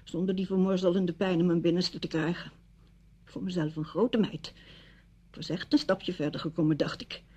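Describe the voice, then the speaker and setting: A low-voiced wounded single woman in a radio play. She sounds husky and bitter, confronting a friend with intense, reproachful feeling. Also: fairly even intonation, moderate pace, dark tone. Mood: hurt. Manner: reproachful, emotionally intense monologue. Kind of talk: radio drama acting.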